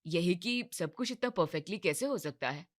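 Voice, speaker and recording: Woman voicing a weary, hesitant young shopkeeper man, low-pitched. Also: lively intonation, moderate pace. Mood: doubtful. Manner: hesitant, resigned. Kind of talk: Hindi animated moral story dialogue.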